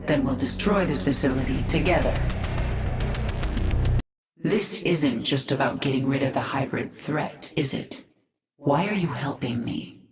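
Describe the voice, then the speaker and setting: A determined swarm queen speaks in a low, firm, commanding voice. There is echo and faint music behind her. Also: measured pace, long pauses. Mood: resolute. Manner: firm and resolute, at times dropping to a whisper. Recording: echoey.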